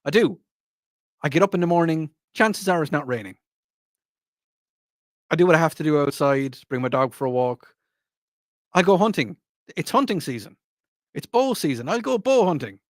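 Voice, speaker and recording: Lively Irish man hosting talk radio. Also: a little high, moderate pace, long pauses, dry recording, clean studio sound. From Ireland. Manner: rambling, repetitive, mocking, laughs often. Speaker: radio show host. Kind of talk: casual talk radio monologue.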